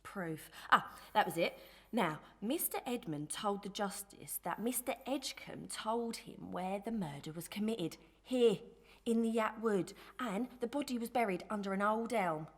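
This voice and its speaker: A woman playing a lively, gossiping young servant in an audio melodrama, chatty and emphatic, pert but good-hearted. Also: mid-range voice, a little slow, breathy edge. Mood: emphatic, indignant.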